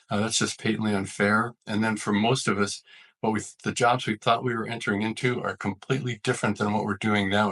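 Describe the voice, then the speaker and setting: A man with a fairly low, husky voice speaks as an expert guest. He lays out his points methodically and earnestly in an explanatory interview register. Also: a bit bright, low-quality recording. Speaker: physician, author and leadership expert interviewed as guest.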